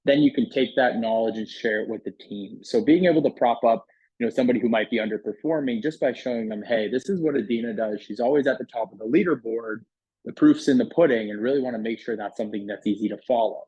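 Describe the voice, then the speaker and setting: A man's voice: medium pitch, quick pace, mellow, breathy edge, dry recording, dull audio. A man giving a casual, conversational walkthrough as a product demonstrator, explaining features in an informal sales style.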